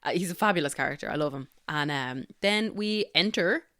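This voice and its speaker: A woman's voice: low-pitched, lively intonation, pushed and bright, no echo, studio quality. A woman co-hosting a podcast, recapping a movie with enthusiastic, gushing chat. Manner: enthusiastic, gushing, quick to laugh. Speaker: podcast co-host.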